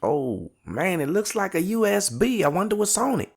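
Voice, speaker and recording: Male actor as keen junior hustler for audio drama: slightly high voice with fry, casual street slang. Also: big pitch swings, normal speed, heavily acted. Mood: pleased, curious. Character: eager younger street sidekick.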